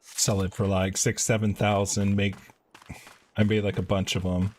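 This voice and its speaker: A man live-streaming a video game, chatting casually and reading quest text aloud in an informal, halting way. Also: lowish voice, flat delivery, a little mellow, a little hiss or hum.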